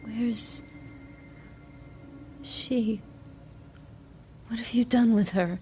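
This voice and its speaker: A woman's voice: on the high side, extremely slow. An actress playing a dazed, desperate captive mother in an audio drama, insistent and pleading. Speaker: actor. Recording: loud music under the voice.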